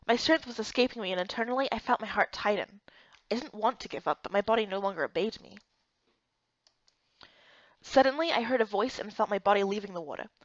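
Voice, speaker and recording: A woman giving expressive first-person scripted narration of a fantasy story. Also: medium pitch, brisk, long pauses, dry recording, muffled recording. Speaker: narrator and voice actor for a visual novel playthrough.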